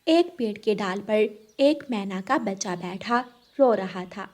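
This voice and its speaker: A woman narrating a scripted children's animated story. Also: mid-range voice, measured pace.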